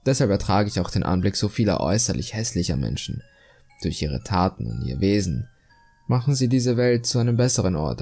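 A calmly cruel male killer obsessed with beauty, acted out by a man in a horror audio story. His delivery is husky and expressive, confiding and self-righteous, over faint background music. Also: fairly low pitch. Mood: calm menace. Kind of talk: German creepypasta horror monologue, dramatic reading.

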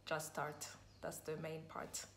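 A young woman chatting to her phone camera in a casual vlog, upbeat and excited about her busy day. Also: deep voice, takes it slowly. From Rwanda. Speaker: real estate vlogger. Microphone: phone camera at arm's length.

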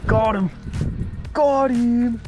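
A young man chatting casually while fishing from a small boat, excited and laughing between catches. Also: very high-pitched, dull audio, over music. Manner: excited, laughing, quick exclamations. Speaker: fishing vlogger. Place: small boat on a lake outdoors. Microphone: action camera.

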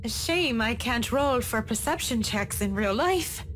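A woman's voice: fairly high pitch, animated, measured pace, fairly bright tone, a little hiss or hum. An actress playing an earnest, anxious but determined young woman in a visual novel, hesitant and pleading. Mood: wry. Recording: game music underneath.

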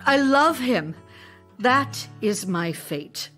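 A woman's voice: on the high side, lively intonation, a bit bright, faint music behind, full character voice. A woman playing an ardent, theatrical stage actress in love, speaking emotionally in an audio drama. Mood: anguished, lovelorn. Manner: emotional, theatrical, deliberate phrasing. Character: Olga Knipper, ardent Russian stage actress and lover. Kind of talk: audio drama acting, letters read as speech.

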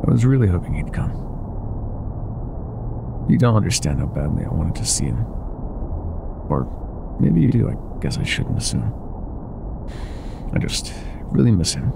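Man acting a gravelly, tender ex-mafia boyfriend. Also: low-pitched, big pitch swings, moderate pace, long pauses, background noise, full character voice. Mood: vulnerable, wistful. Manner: soft, intimate, affectionate, hesitant at times. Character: older ex-mafia boyfriend, tender, teasing, vulnerable bar owner. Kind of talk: intimate boyfriend roleplay ASMR.

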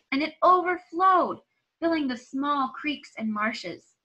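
Female storybook reader, 30s, schoolteacher type, very bright tone, expressive and deliberate, recorded in a small room at home. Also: high-pitched, lo-fi sound. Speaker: teacher reading aloud to pupils. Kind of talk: expressive children's storybook read-aloud.

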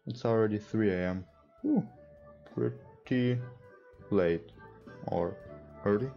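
Low, mellow young man, non-native English speaker. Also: gravelly. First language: not English. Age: young adult. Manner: halting, stumbles while reading. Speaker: let's player. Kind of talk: casual let's play, reading game dialogue aloud. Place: indoor room at a computer. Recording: dull lo-fi sound. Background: game music.

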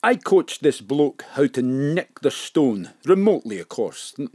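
Cheeky crook with swooping pitch. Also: male voice, big pitch swings, measured pace, darkish tone, husky, no echo, studio quality. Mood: offhand, cheeky. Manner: colloquial, offhand, rascally. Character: chatty, cheeky male small-time crook. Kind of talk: audio drama dialogue.

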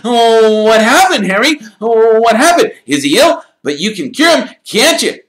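A man reading an audiobook, voicing an excitable young boy fan in a very high, gushing, breathless voice. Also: measured pace, exaggerated voice. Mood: excited.

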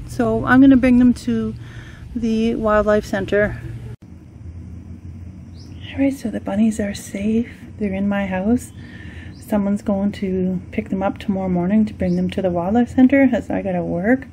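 Woman, everyday pitch, soft-edged mellow tone, unscripted animal-rescue vlog, slight background noise on a handheld phone. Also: low-quality recording. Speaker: vlogger filming an animal rescue. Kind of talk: casual unscripted vlog narration. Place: outdoors on grass, later indoors and in a car.

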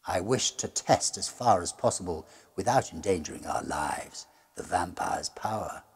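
Husky, gravelly storyteller. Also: male voice, low voice, lively intonation. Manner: measured, suspenseful, theatrical. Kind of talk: dramatic gothic ghost story narration.

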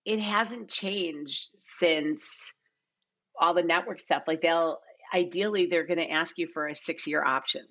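A woman's voice: on the low side, measured pace, fairly bright tone, dull audio. A middle-aged woman speaking casually as an interview guest, thinking aloud and repeating phrases as she explains. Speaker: casting director, podcast guest. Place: bright living room at home, indoors.

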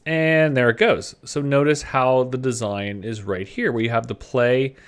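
A man's voice: medium pitch, fairly even intonation, a little slow, clear, resonant tone. A man presenting an informal screen-share coding tutorial in a conversational way, thinking aloud as he demonstrates. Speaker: presenter of a web development tutorial, designer.

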